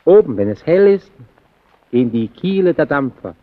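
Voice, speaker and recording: An actor in a German radio play playing a solitary lighthouse keeper haunted by the sea, speaking haltingly and intensely, swelling and sinking to a near murmur. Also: male voice, fairly high pitch, big pitch swings, narrow, tinny audio. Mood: brooding, resigned.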